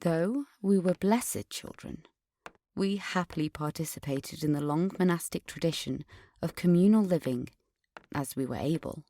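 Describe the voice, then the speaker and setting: A woman's voice: deep voice, normal speed, mellow. An actress playing a haughty, defensive upper-class woman delivering a pompous, precisely worded monologue in an audio drama. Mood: wistful, proud. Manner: posh, pompous, precise formal diction. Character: haughty, defensive upper-class adult alumna named Katrina. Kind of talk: dramatic monologue in a fiction podcast.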